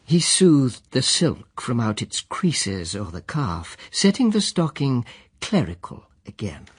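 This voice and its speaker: An actor narrating a radio drama in verse as an observant, deliberate, declamatory storyteller. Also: male voice, medium pitch, big pitch swings, husky, heavily acted. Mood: measured, vivid.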